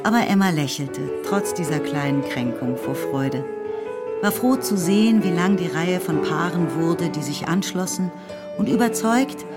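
An actress as the composed storyteller of a period novel, narrating in a measured literary style for a radio drama. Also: female voice, fairly low pitch. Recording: plucked-string music behind narration.

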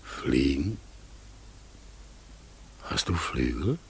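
Gloomy raven creature, creaky deep voice that swoops around, timid, solemn old radio play. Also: male voice, big pitch swings, full character voice. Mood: puzzled, worried. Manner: halting, solemn, wondering. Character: melancholy talking raven, gentle, fearful, lost between bird and human. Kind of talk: mid-century German radio play acting. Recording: old radio recording with noise.

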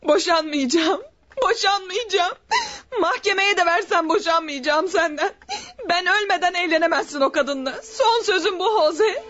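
An actress in a radio drama playing a devoted, stubborn wife who cries and refuses to divorce. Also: female voice, very high-pitched, normal speed, fairly bright tone. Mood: tearful. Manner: tearful, pleading and stubborn.

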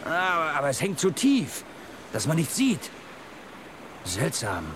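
A man playing a gruff, domineering, hot-tempered fisherman in a children's radio play, barking orders in rough colloquial speech. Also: fairly high pitch, wildly swooping pitch, unhurried. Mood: gruff, commanding. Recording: noisy sea and waves behind.

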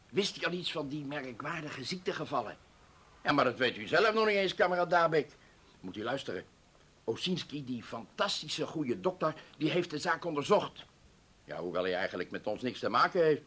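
A man's voice: medium pitch, sing-song, moderate pace, fairly bright tone, breathy edge. An actor playing a talkative, eager male hospital patient in a radio drama, chatty and inquisitive. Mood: eager, excited.

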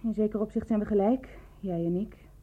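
A woman in a radio drama playing a lonely, bereaved woman, coolly probing and insinuating in measured, clipped phrases. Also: medium pitch, steady tone, husky, close to an everyday voice. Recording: narrow, dull lo-fi radio play recording.

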